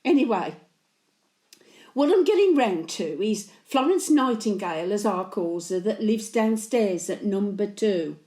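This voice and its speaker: A woman's voice: mid-range voice, lively intonation, a little slow, warm tone, echoey room, natural voice. A woman playing a blunt, antisocial elderly Birmingham widow, telling chatty stories in local dialect as a dramatic monologue. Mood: wry, grumbling. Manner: blunt, grumbling, colloquial dialect. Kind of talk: dramatic monologue, chatty storytelling to late husband.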